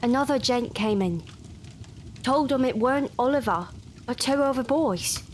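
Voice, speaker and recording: A woman's voice: sits high, animated, moderate pace, a little hiss or hum. A woman playing a streetwise, unflustered member of a gang of thieves in an audio drama, colloquial and matter-of-fact.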